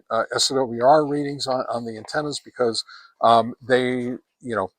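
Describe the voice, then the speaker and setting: A man's voice: mid-range voice, fairly bright tone, dry recording. A man hosting a casual hands-on gear review outdoors, talking in a folksy way and repeating words for emphasis. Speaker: product reviewer and host. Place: outdoors on a deck.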